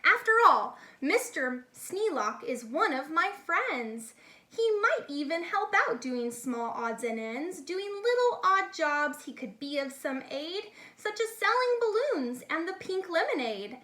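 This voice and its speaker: A woman's voice: sits high, big pitch swings, unhurried, pushed and bright, full character voice. A woman voicing a boastful, eager young boy who plays circus showman in a rhyming storybook, with grandiose singsong delivery. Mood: grand, boastful. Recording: echoey, reverberant room sound.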